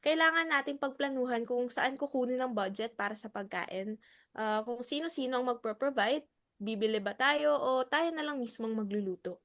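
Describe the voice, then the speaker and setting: Woman acting a high-voiced, brisk Filipino club leader. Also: a bit bright. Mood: earnest, organizing. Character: brisk, civic-minded motherly club leader, teasing. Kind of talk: dramatic reading, Tagalog-English dialogue.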